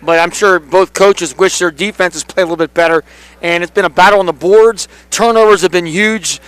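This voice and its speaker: A man giving unscripted analysis as a color commentator at a high school game. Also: steady tone, fairly quick, a bit bright, smooth, no echo, rough recording.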